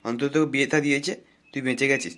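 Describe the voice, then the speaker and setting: Man voicing fast, grumbling cartoon big brother. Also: medium pitch, fairly even intonation, fairly bright tone, natural voice. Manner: scolding, foul-mouthed, complaining banter. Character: crude, bossy married village club elder brother, grumbling and scolding. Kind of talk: comic cartoon dialogue.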